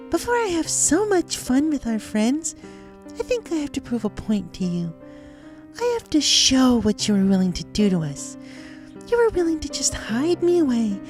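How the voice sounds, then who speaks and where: A woman's voice: high voice, darkish tone. A woman voicing a manic, girlish cartoon pony killer in a dramatic story reading, sugary, theatrical and taunting, with sweet menace. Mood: menacing, wounded. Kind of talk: dramatic fan fiction reading in character. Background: music.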